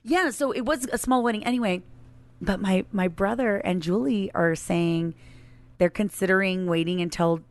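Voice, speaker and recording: A woman in her late thirties co-hosting a podcast in casual, chatty banter with her spouse, informal and quick to laugh. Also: mid-range voice. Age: late 30s. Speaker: podcast co-host.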